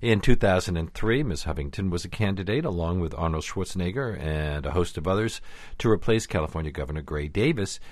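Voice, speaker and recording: A man's voice: low-pitched, animated, fairly quick, a little mellow, no room sound. A middle-aged man hosting a radio interview, polished and conversational. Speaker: radio host.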